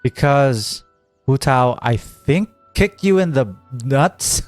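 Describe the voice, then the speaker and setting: A man's voice: mid-range voice, lively intonation, slow, no room sound. A man giving casual, quippy, joking gameplay commentary as a streamer. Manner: quippy, playful. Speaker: game streamer. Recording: dry mic, faint game music behind.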